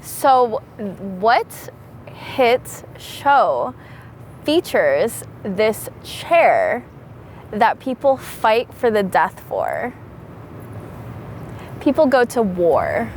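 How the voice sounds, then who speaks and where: A woman hosting a casual interview, playful and encouraging with quick back-and-forth. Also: a little high, wildly swooping pitch, rough recording.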